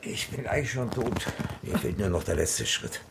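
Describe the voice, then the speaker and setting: Radio play: frail dying old man, gravelly, rises and falls a lot. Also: lowish voice, lively intonation, moderate pace, noisy background. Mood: weary. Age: older. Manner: weary, fatalistic. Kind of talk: radio drama acting.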